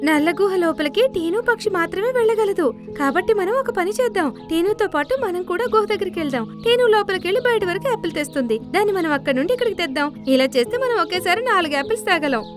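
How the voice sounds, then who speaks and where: A woman's voice: very high voice, expressive, fast talker. A woman voicing a small, assertive female bird in a children's cartoon story. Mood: brisk, decisive. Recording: background music under the voice.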